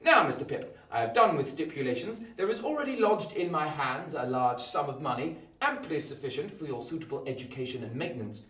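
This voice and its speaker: An actor playing a brisk, imperious Victorian lawyer with formal, emphatic phrasing in a theatrical radio play. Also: male voice, on the high side, expressive, a bit bright, distant mic. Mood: stern, official. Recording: roomy echo, off the mic.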